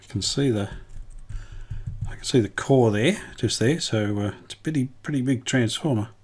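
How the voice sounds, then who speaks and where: A middle-aged man, talking casually while he tests and repairs an old radio in his workshop, thinking aloud with many pauses and restarts. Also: mid-range voice, a little mellow. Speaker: radio restorer, channel host. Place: home workshop bench.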